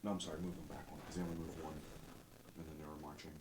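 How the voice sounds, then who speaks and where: A man's voice: rumbling low, flat delivery, very fast, a little mellow. A man casually talking through his moves in a tabletop game. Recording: very noisy game room with clicks.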